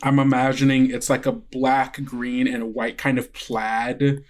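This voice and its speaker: A man's voice: medium pitch, little pitch movement, a little slow. A man narrating a tabletop game as game master, improvising hesitantly in a casual way. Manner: hesitant, frequent "like" and "kind of".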